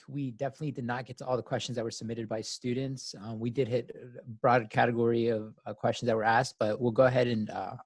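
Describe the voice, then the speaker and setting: A man's voice: medium pitch, no room sound. Man moderating casually, dry close sound. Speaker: moderator of a student open forum. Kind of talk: casual moderating of an online meeting. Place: online video call.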